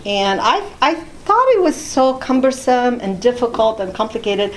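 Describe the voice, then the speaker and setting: A woman's voice: a little high, lively intonation, moderate pace, a little hiss or hum, low-quality recording. A middle-aged woman from Jordan, talking conversationally as an interview guest about her own life. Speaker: interview guest, immigration attorney.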